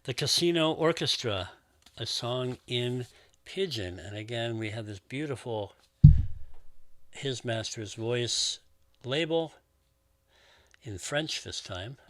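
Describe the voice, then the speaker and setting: A man's voice: medium pitch, lively intonation, slow pace, long pauses, no echo. Musing record-collector man, dry close sound. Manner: warm, musing, enthusiastic about records. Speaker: record collector and show host. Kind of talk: casual unboxing chat.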